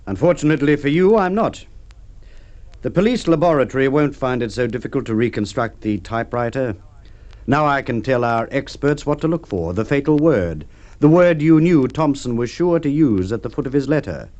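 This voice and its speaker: A man's voice: mid-range voice, expressive, darkish tone, close to an everyday voice. Male actor for shrewd detective inspector, dry golden-age radio delivery, mid-range voice, lo-fi sound. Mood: firm, accusing. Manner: measured, dry, probing. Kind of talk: mid-century radio drama acting. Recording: dull vintage radio recording.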